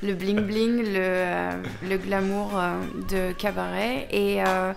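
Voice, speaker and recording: Mid-pitched woman chatting as a radio guest. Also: fairly even intonation, slow, creaky, faint music behind. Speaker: set decorator, radio guest. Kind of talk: casual interview chat. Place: radio studio.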